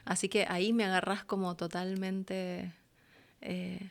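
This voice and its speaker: An Argentine woman talks casually as a guest in an interview. Her delivery is expressive and reflective, and she slips into short acted-out quotes to illustrate what she means. Her voice sits in a middle register. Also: mid-range voice, measured pace, vocal fry. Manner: expressive, acts out little quotes as she explains.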